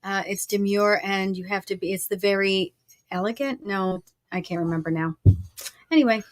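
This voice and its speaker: A woman's voice: mid-range voice, moderate pace. A woman co-hosting a casual livestream in a basement, playful and teasing, sharing one table mic from off to the side. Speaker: co-host. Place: basement studio. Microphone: one shared table microphone, off to the side.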